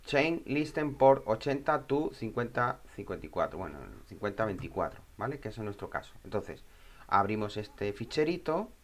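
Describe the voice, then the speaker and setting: A man with a slightly low voice presents a software tutorial in an informal way. He goes step by step, thinking aloud as he works. He often drops in short questions to check that the listener is following. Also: big pitch swings, normal speed.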